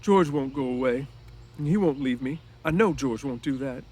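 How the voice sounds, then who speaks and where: A man's voice: mid-range voice, normal speed, dark tone, husky, pitched above the performer's own voice, close to an everyday voice. A man voicing a childlike, anxious, stubborn big ranch hand in a storybook, simple and plaintive in manner. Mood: miserable.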